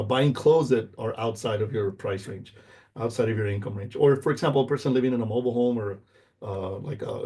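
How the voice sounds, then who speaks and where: A middle-aged American man, a native Spanish speaker, gives an informal lecture. His voice is dark and relaxed with a husky grain, sitting at a medium pitch. He explains point by point and checks in with the listener as he goes. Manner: explanatory, checks in with "okay?" and "right?".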